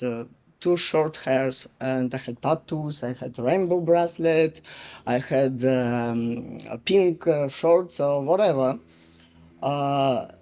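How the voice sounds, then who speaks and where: A man from Russia with a Russian accent, giving a public speech slowly and haltingly with many pauses and fillers. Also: fairly high pitch, dark tone. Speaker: guest speaker.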